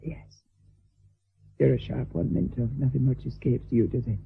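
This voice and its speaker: A woman's voice: extremely low pitch, fairly even intonation, moderate pace, vocal fry, husky, heavily acted. An actress playing a middle-aged, vulgar, hard-drinking lady mistress in a radio drama, declamatory, vain and fearful, lurching between coarse bluntness and maudlin grandeur. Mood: uneasy. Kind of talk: theatrical radio drama acting. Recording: thin, phone-like band-limited radio drama audio.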